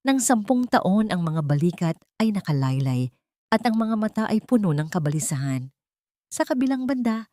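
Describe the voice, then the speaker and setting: A woman's voice: on the low side, darkish tone, no room sound, clean studio sound. A woman reading a scripted dramatic story as its narrator.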